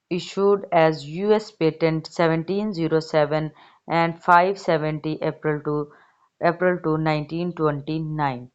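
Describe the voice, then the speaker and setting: A woman reading an encyclopedia article aloud, haltingly, stumbling over words and repeating them. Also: sits low, clear, resonant tone. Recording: dull, lo-fi recording.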